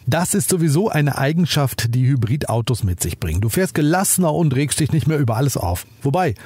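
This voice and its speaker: A man with a slightly husky, mid-pitched voice narrates a scripted car review. He keeps it chatty, speaking directly to the listener. The sound is dry and close, with no room around him. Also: darkish tone, dry recording. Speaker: car podcast host.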